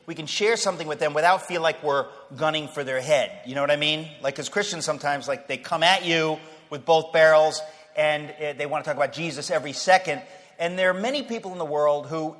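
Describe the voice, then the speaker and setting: A man's voice: fairly high pitch, fairly bright tone. Joking, restarting man in a reverberant hall. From Queens, New York. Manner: conversational and humorous, with stammered restarts and rising emphasis. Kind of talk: casual personal talk.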